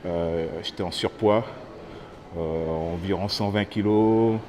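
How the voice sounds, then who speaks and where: A man in his thirties from French Guiana, telling his own story haltingly, with many fillers and restarts. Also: sits low, a little mellow, a little hiss or hum. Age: 30s. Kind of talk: personal testimony to camera. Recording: music playing under the voice.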